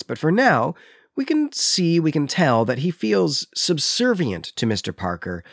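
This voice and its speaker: Animated male podcast host, dry close sound. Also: medium pitch, no room sound. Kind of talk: literary recap and discussion.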